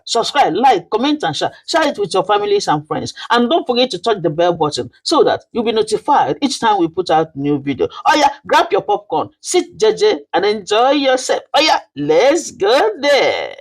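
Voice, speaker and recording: A storyteller with a Nigerian accent narrating a folktale in an emphatic, theatrical manner with dramatic pauses. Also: medium pitch, husky.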